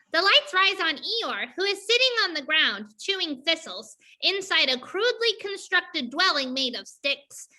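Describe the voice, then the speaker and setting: A woman's voice: very high-pitched, sharp tone, full character voice. A woman narrating stage directions for a dramatized play reading.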